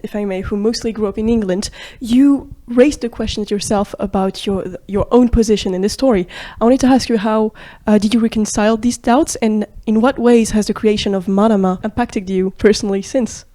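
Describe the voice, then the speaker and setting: A woman interviewing a film director, asking careful, measured questions. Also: medium pitch, warm tone.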